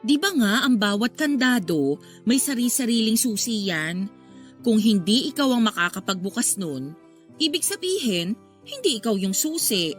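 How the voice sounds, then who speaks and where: An actress playing a caring, frank older sister in a radio drama, talking in an earnest, coaxing, conversational way. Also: female voice, on the high side, sing-song, normal speed, faint music behind. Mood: earnest advising. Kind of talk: Filipino radio drama acting.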